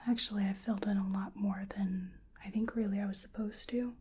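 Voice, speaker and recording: A woman doing soft-spoken ASMR roleplay, largely whispering, gently and hesitantly murmuring to the listener in a breathy voice. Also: mid-range voice, steady tone, fairly quick, a little hiss or hum.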